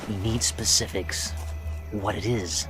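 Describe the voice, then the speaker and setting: An actor playing a folksy, wry wartime intelligence handler in a game cutscene, breezy and colloquial. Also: male voice, lowish voice, steady tone, measured pace, natural voice. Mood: breezy, briefing. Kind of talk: video game cutscene dialogue. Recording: music behind the dialogue.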